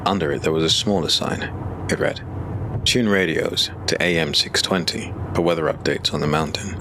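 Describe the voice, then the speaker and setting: A man with an exceptionally deep voice narrates a dark fantasy audiobook in a measured, ominous manner. His delivery is scripted and steady. A clear hiss runs under the recording.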